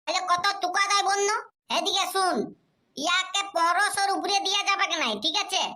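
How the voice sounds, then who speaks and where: A childlike village cartoon character with a very high, piercingly bright voice. The words come out with a babyish lisp in a Purulia Bengali accent. The character bargains in a nagging, pleading way. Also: fairly even intonation, brisk, heavily acted. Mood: eager. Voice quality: very high, squeaky, babyish lisped consonants. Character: childlike cartoon villager haggling eagerly with an old seller. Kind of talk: comedy cartoon dialogue.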